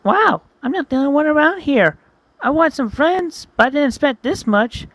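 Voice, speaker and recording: A man voicing an excitable young hedgehog creature, wide-eyed and eager. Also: very high voice, normal speed, no echo, pitched above the performer's own voice, full character voice. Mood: amazed.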